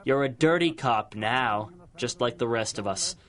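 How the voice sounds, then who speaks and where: A man's voice: mid-range voice, measured pace, fairly bright tone, natural voice. An actor playing a cynical, corrupt older policeman in a 1930s radio crime drama, taunting in folksy tough-guy slang. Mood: smug, taunting. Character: cynical corrupt older cop, menacing and mocking.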